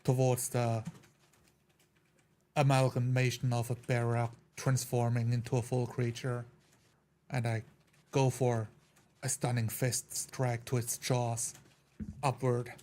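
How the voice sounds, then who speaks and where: A man's voice: medium pitch, level tone, slow, long pauses, darkish tone. A man playing a tabletop roleplaying game, describing his character's moves in a matter-of-fact way. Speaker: player.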